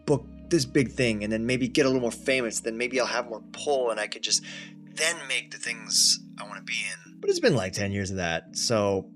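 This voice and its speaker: A man's voice: mid-range voice, normal speed, fairly bright tone. A man in his thirties from Thailand, talking casually and self-deprecatingly about his life in a personal vlog. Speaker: actor and content creator. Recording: faint background music.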